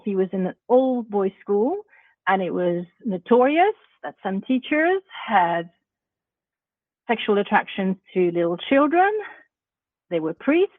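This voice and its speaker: A woman's voice: medium pitch, dry recording. A woman whose first language is not English, a parent guest telling her own story emphatically in a podcast discussion. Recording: tinny phone-like line.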